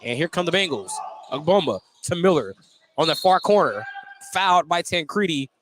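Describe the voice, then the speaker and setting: A man calling live basketball play-by-play on the radio, getting excited on big plays. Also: on the high side, normal speed, slight background noise. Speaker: play-by-play announcer. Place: basketball gym at a tournament.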